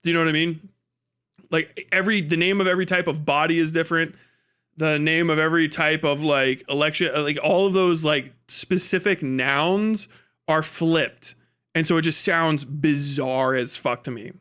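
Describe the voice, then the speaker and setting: A man's voice: a little high, steady tone, moderate pace, a bit bright, clear, resonant tone. An American man giving casual, profane livestream commentary in a crude, mocking, rambling way. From United States. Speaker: livestream host reacting to a video.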